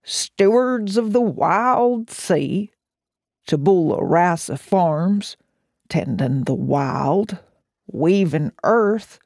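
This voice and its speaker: Slow gravelly speaker, dry studio voice-over, steady roll-call reading. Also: medium pitch, no echo. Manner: slow, even listing of names. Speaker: representative.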